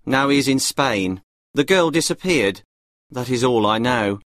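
A man voicing an aloof male servant who speaks coldly and flatly. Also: medium pitch, measured pace, no echo, pitched above the performer's own voice. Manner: cold, detached.

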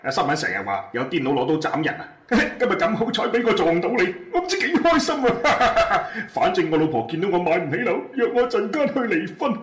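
A man acts a fearless nerdy fellow from a comic radio play, gleefully sardonic about his own miserable life. His high-pitched Cantonese swings up and down wildly in an echoey space. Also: huge pitch swings, brisk, hardly any pauses. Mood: sardonic glee. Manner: sardonic, gleeful, swooping delivery. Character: fearless, bespectacled man, cheerfully fed up with life. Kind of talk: comic internet radio drama acting. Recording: roomy, echoing voice track.

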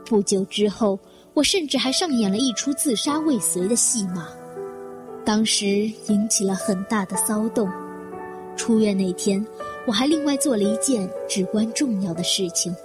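Woman acting resolute vengeful heroine, mystery audio drama, measured dramatic narration, echoey reverb, clear background music. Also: medium pitch, natural voice. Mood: grim resolve. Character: vengeful, resolute woman plotting against her would-be killer. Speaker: voice actor in an amateur audio drama. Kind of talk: dramatic first-person narration and dialogue in a mystery radio drama.

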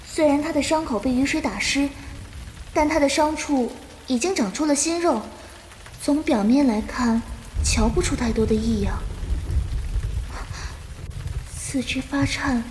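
A woman playing a gentle, compassionate young martial-arts disciple who explains patiently in a Chinese period audio drama. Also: high-pitched, normal speed, slight background noise, close to an everyday voice, rough recording. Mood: worried.

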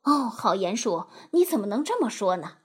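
A woman voicing a meek, anxious and fussy male water rat from a storybook, soft and hesitant. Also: mid-range voice, very animated, moderate pace, breathy edge.

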